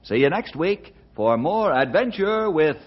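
An actor playing a steady, kindly ranger who tells stories in a radio drama, with a husky voice. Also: male voice, a little high, measured pace. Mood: earnest, storytelling. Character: steady, kindly cowboy ranger, storyteller and family friend. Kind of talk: old-time radio drama acting and narration. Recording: dull lo-fi old radio recording.

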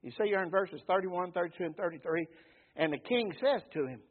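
A man's voice: high voice, expressive, fairly quick. A man preaching a folksy, emphatic sermon, calling for amens from the congregation. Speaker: pastor. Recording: tinny phone-like recording.

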